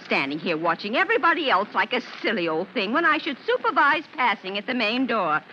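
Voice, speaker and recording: A woman's voice: fairly high pitch, very animated, brisk, bright, forceful tone. A woman playing a fussy, proper, easily flustered schoolteacher in an old-time radio drama. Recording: muffled, noisy old radio recording.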